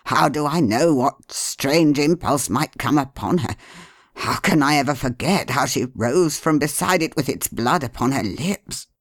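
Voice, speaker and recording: A woman voicing a troubled middle-aged father who speaks low and heavily. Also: expressive, unhurried, dry recording, studio quality, heavily acted. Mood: brooding. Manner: low, heavy, brooding.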